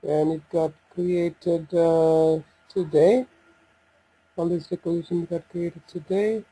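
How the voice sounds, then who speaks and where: A man with a slightly husky voice giving an unscripted software walkthrough, hesitant and thinking aloud as he goes. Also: a little high, fairly even intonation, slow pace, poor audio. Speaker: software demonstrator. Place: voice-over on a screen recording.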